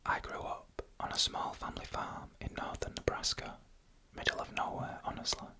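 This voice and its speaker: A narrator telling eerie stories in a breathy, unvoiced whisper, in deliberate short phrases for ASMR. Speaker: ASMR storyteller.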